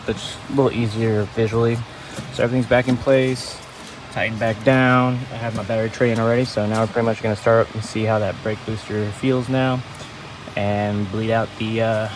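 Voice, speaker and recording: A man's voice: mid-range voice, distant mic, noisy background. A man giving a casual, hands-on car repair tutorial in an informal, self-correcting way. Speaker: auto mechanic presenting a how-to. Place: at a car's engine bay and inside the cabin.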